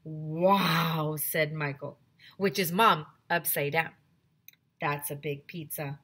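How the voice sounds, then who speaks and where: A woman in her thirties, a teacher on a headset mic, reading a chapter book aloud to children with lively, expressive storytelling. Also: sits low, takes it slowly, breathy edge. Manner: expressive storytelling, addresses kids directly. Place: small home room with classroom decorations.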